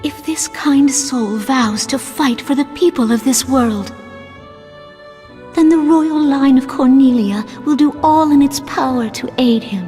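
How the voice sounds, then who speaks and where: High-voiced, regal fantasy princess. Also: female voice, warm tone, faint music behind. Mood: solemn. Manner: formal, measured, ceremonious. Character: young gracious princess, solemn, regal and gentle. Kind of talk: video game cutscene acting. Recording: long hall reverb.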